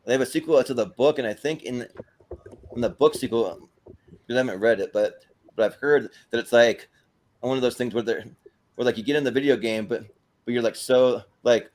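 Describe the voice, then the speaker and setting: Male panel host, medium pitch, casual chat style, meandering delivery with repeated words. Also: a little fast, darkish tone. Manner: rambling, repeats words as he goes.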